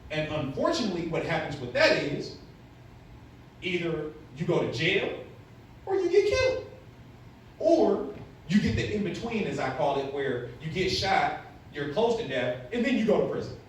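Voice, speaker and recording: High, animated man in an echoey room. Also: moderate pace, long pauses. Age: late 30s. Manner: blunt, emphatic, asks rhetorical questions. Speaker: motivational speaker to youth. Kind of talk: candid personal talk. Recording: very echoey room, far from mic, faint noise.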